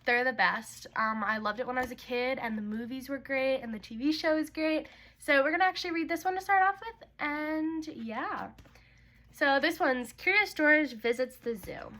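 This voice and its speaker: A woman's voice: high-pitched, moderate pace, bright, forceful tone. A young woman hosting a read-aloud for young children, speaking in an informal, chatty way. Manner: informal, chatty, addressing kids as "you guys". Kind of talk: casual intro talk and storybook read-aloud for young kids.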